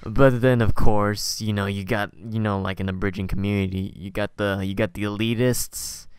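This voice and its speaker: Clear, low-voiced man chatting in a dry room. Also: fairly even intonation, no echo. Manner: rambling, halting, self-correcting. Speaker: podcast host. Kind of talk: casual interview chat.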